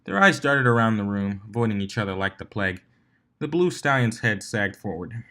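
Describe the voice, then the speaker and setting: A man's voice: lowish voice, lively intonation, brisk, pushed and bright. A man narrating a written fan fiction story as its reader.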